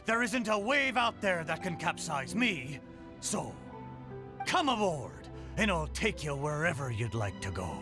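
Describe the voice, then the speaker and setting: Video game talking ship, a boastful old sea rogue, hearty pirate slang, very animated, unhurried delivery. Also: male voice, on the high side. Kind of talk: video game character voice acting. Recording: music under the voice.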